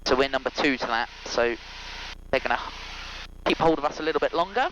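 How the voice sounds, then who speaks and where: A man's voice: medium pitch, animated, a little fast. A man piloting a small plane, chatting and reading back radio calls on a headset. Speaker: pilot and vlogger. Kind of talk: cockpit chat, checklist talk and radio readbacks. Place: cockpit of a small propeller plane in flight. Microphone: aviation headset. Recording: muffled headset audio with loud engine noise.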